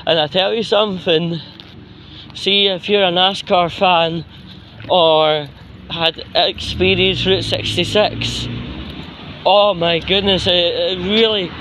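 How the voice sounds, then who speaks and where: A Scottish man rambling casually in a halting way, with long mid-sentence pauses, recording himself on a phone as he walks along a street. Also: high voice, steady tone, a bit bright, poor audio. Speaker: vlogger. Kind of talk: rambling casual walking monologue. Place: outdoors, walking along a street pavement. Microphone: handheld phone while walking. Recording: outdoor phone recording with traffic noise.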